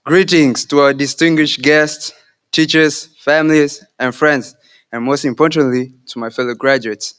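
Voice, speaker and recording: Earnest young man, smooth voice. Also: on the high side, steady tone, fairly bright tone. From Kenya. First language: Swahili. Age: young adult. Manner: earnest, devout, measured delivery. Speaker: graduate giving a speech. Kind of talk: graduation speech.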